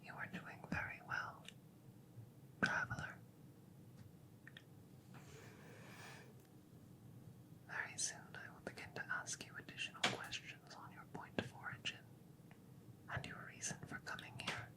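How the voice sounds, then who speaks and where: A woman playing a calm, curious alien scientist in a soft ASMR roleplay, whispering and murmuring close to the mic in a measured, formal way. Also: natural voice. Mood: hushed, reassuring. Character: calm, clinical, curious female alien scientist examining a visitor. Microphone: close microphone.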